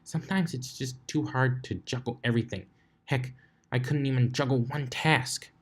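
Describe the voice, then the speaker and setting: A man's voice: mid-range voice, moderate pace, voice pushed up, natural voice. A man voicing a frustrated, dejected young female unicorn wizard in a story reading, muttering and pleading as she repeats herself. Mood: miserable.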